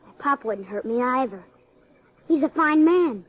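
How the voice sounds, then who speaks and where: Casting: woman as high-pitched American farm boy of eleven, earnest and wary, muffled old radio western. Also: lively intonation, unhurried. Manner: plain-spoken, earnest country talk. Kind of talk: 1950s western radio drama acting.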